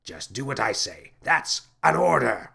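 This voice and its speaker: A man voicing a lanky, pompous male space captain who whines and shouts melodramatically. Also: medium pitch, big pitch swings, a little slow, creaky, slightly rough, heavily acted. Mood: dismissive.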